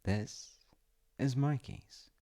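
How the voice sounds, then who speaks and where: A man playing a playful, tender wizard fiancé in an intimate ASMR-style audio roleplay, speaking to the listener in a gentle, coaxing way. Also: medium pitch, drawn-out words, vocal fry. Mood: playful, excited. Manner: gentle, coaxing, often dropping into whispers. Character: playful, tender male wizard fiancé showing off magical home.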